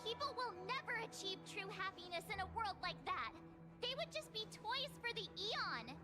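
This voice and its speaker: A woman's voice: extremely high pitch, very animated, moderate pace, loud music behind the voice. A woman voicing an earnest young girl companion in a video game. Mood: earnest, indignant. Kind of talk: scripted game dialogue.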